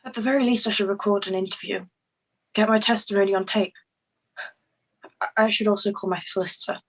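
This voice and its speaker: An actress in a screenplay table read playing an anxious, impatient woman whose partner was abducted, sharp-tongued and exasperated. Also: medium pitch, fairly even intonation, fairly quick, rough recording. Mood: insistent.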